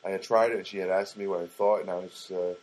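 A man's voice: low voice, fairly even intonation, fast talker, a little mellow. A middle-aged man casually telling a rambling, wry personal story and acting out what people said. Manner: rambling, wry, acts out quoted lines.

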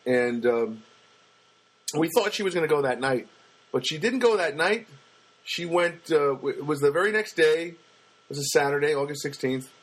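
A man with a booming voice telling a personal story in a casual monologue, halting often with fillers and restarts. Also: lively intonation, rough recording. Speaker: storyteller recounting a personal loss.

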